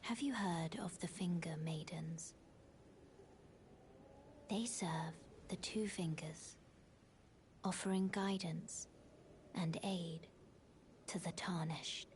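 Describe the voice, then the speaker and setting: An actress playing a mysterious maiden guide in a fantasy game, soft-spoken, formal and solemn, with a breathy, half-whispered delivery. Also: female voice, on the low side, fairly even intonation, slight background noise. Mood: calm, earnest. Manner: formal, measured, hushed. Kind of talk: scripted fantasy game dialogue.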